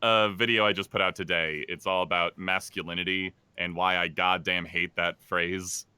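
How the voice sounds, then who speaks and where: Male podcast panelist, low register with a bright edge, casual and halting delivery. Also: steady tone, clean tone, dry recording. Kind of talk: casual chat.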